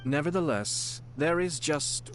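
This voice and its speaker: An actor playing a courteous, composed and shrewd noble lord in a video game, with polished formal speech. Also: male voice, fairly high pitch, expressive, a little slow, over music. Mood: tactful, grave.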